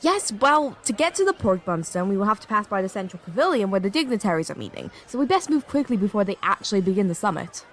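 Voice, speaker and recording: A woman's voice: medium pitch, big pitch swings, quick pace, hardly any pauses. A woman voicing a prim, skeptical young friend in an audio drama, with formal wording. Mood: reserved, curious.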